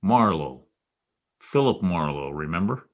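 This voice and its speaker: A man plays a hard-boiled private eye in a radio detective drama. His voice sits fairly low, with a wry, knowing delivery and a dogged streak. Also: lively intonation, a little slow, muffled recording. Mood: dry, wry. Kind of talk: old-time radio detective drama re-creation.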